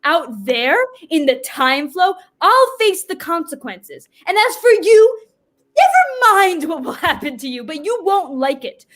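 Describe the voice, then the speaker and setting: Woman as Death personified: very high voice, exasperated, commanding, indignant, stage-play delivery. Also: big pitch swings, measured pace, fairly bright tone, exaggerated voice. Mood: bitter, defeated. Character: Death personified, exasperated, humiliated, cursing bureaucrat.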